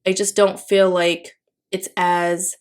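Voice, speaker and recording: A young woman from the Philippines, Tagalog as her first language, with a voice sitting a little low. She talks in a relaxed, rambling way, full of fillers and restarts, as a home voice-over for her planner video. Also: slow pace, clear, resonant tone. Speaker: planner vlogger. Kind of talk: casual voice-over while decorating a planner.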